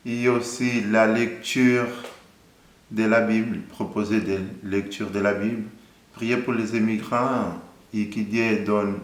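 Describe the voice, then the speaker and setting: A man in his thirties from Angola, a Portuguese speaker, calmly and earnestly sharing his faith story in an interview. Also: on the low side, reverberant. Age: 30s. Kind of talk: personal faith testimony.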